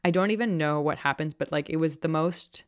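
A woman's voice: deep voice, a little fast, smooth, no room sound, clean studio sound. A young adult woman chatting casually and candidly, poking fun at herself with plenty of fillers. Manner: candid, self-deprecating, lots of fillers. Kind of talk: casual chat.

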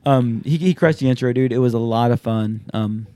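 Man, 30s, podcast host; casual, profane, animated storyteller; mellow tone, dry close recording. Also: mid-range voice, brisk, no echo. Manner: loose, profane, animated storyteller acting out quotes. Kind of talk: casual chat.

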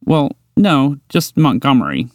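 A man voicing a big, gentle, slow-witted moose in a bedtime story, hesitant and bashful. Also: medium pitch, sing-song, no room sound, clean studio sound, voice pushed up. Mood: unsure. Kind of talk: storybook character voice.

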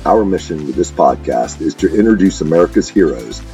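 A man reading a scripted podcast disclaimer and intro in a steady, measured way. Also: rumbling low, rough recording. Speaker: show announcer. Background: music bed.

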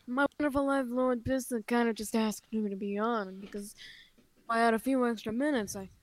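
A woman's voice: on the high side, steady tone, moderate pace, dry recording. A woman performing comedic improv with goofy, mock-serious delivery and silly crooning. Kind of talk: comedic improv character play.

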